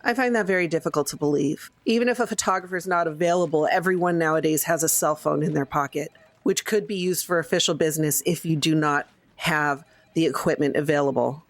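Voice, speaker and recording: A man's voice: a little high, no echo, close to an everyday voice. Formal, skeptical government agent played by a man. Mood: skeptical, official. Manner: formal, measured wording.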